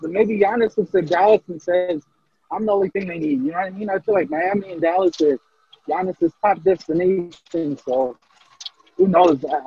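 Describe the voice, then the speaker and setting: A man co-hosting a casual sports podcast, talking in an animated way with stammered restarts. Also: sits high, creaky, husky, muffled recording. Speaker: podcast co-host.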